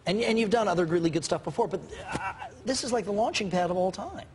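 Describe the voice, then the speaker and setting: A middle-aged American man hosts a morning show at a quick clip. His banter is playful and teasing, and studio voices chatter behind him. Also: sits high. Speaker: morning TV news host. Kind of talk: casual, jokey interview banter. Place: television studio.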